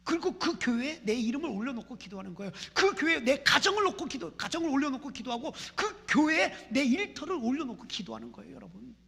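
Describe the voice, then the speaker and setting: A woman's voice: high voice, big pitch swings, fast talker, vocal fry, hardly any pauses, fairly bright tone. A woman pastor from Seoul preaching a fervent sermon in a church, repeating phrases in rising prayer chants. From Seoul, South Korea. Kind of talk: impassioned sermon. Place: church sanctuary. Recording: reverberant church hall.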